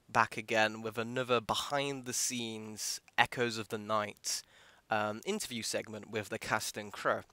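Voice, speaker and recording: A man's voice: medium pitch, sharp tone, no echo. A man hosting a podcast, interviewing in a casual, chatty style.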